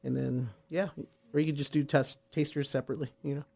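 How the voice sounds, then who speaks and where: A man's voice: medium pitch, little pitch movement, fast, vocal fry, warm tone. A man from San Diego, a brewery guest on a radio show, talking eagerly and repeating words for emphasis. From San Diego, USA. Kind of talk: casual radio interview. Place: radio studio.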